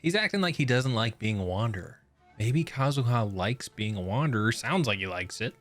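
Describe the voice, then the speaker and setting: A man's voice: medium pitch, expressive, fairly quick, a bit bright, faint music behind. A man giving casual, slangy, laid-back reaction commentary as a gaming YouTuber.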